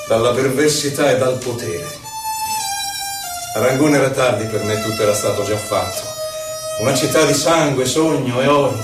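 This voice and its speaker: An actor playing an impassioned, solemn poet who recalls his life in theatrical, declamatory verse with measured phrasing. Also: medium pitch, natural voice. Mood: bleak, weary. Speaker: actor in a poetic theatre piece for radio. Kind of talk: dramatic poetry recital. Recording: roomy echo, distant mic, violin music. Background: violin music.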